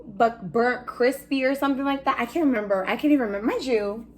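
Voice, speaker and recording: Animated young woman telling stories. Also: on the high side, fast talker, slight background noise. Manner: animated storyteller, laughs often, loud voice. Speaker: host. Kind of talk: casual chat.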